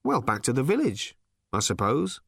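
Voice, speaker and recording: A frazzled, sarcastic everyman, played by a man reading an audiobook, who climbs into shrill, bellowing rants whenever he is pushed too far. His voice leaps wildly up and down in pitch. It is recorded close and completely dry. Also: huge pitch swings, normal speed, vocal fry, slightly rough, no echo, full character voice. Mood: mild, vague. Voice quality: exasperated, shouting sarcastic rants. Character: frazzled sarcastic middle-aged Englishman, man.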